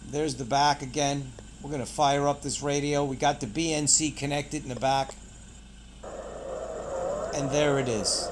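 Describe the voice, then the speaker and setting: A man's voice: mid-range voice, fairly even intonation, measured pace, background noise. A man hosting a casual product unboxing, pointing things out in short, clipped phrases. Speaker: product reviewer and channel host.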